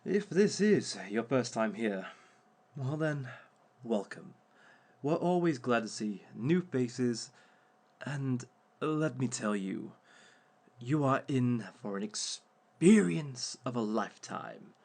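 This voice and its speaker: Smug villain workshop host, voiced by a man, gravelly, slow, dry teasing tone. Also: mid-range voice, lively intonation, darkish tone. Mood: welcoming. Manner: dry, teasing, unhurried, talks to unseen listeners. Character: smug male villain lecturing a dating workshop. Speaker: workshop speaker. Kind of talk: audio roleplay monologue.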